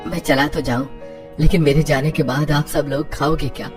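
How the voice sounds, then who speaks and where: A man's voice: fairly high pitch, fairly even intonation, normal speed, over music, lightly acted. A man voicing a poor labourer husband anxious for his family in a cartoon story. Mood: worried.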